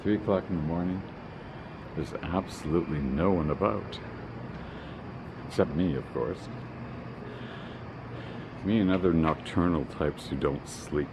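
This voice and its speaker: A man's voice: sits low, a little slow, pauses often, background noise. A man talking to himself wryly while walking around an empty room under renovation at night, recorded on a handheld camera. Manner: wry, musing, talks to himself. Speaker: vlogger. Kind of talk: casual self-talk while walking around. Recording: echoey room with music behind.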